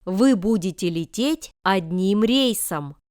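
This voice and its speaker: Woman, slow careful lesson reading, smooth crisp voice, deliberate enunciation. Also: mid-range voice, animated, a little mellow, dry recording, studio quality. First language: Russian. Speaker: language lesson reader. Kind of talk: reading example sentences slowly for learners.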